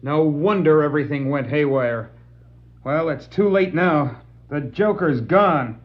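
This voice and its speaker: An actor playing an eager, earnest young superhero sidekick with keen, emphatic delivery on a 1960s adventure record. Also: male voice, fairly high pitch, measured pace, close to an everyday voice. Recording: dull 1960s vinyl record dramatization.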